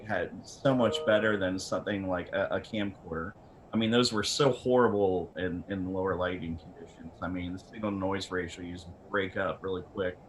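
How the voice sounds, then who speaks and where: A man talking casually as an expert on a panel, blunt and rambling, often repeating words mid-sentence. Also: lowish voice. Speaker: camera company representative on a panel. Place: online video meeting from a room. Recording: clearly noisy video-call audio.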